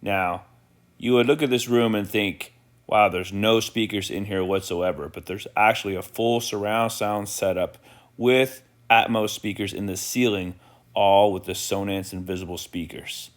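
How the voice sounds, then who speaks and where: A man's voice: lowish voice, fairly even intonation, moderate pace. A man narrating an informal product tour in an approving, enthusiastic manner, pointing things out to viewers.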